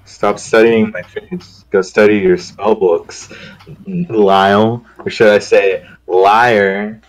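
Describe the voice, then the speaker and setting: A man's voice: lowish voice, fairly even intonation, takes it slowly, poor audio. A man voicing an evasive, irritable young heroine in a visual novel, curt and defensive. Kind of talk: amateur visual novel voice acting.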